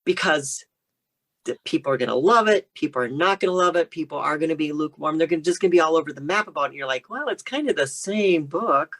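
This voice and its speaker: Low-voiced American woman in conversation. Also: animated, fast, fairly bright tone. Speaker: guest novelist. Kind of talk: casual interview conversation.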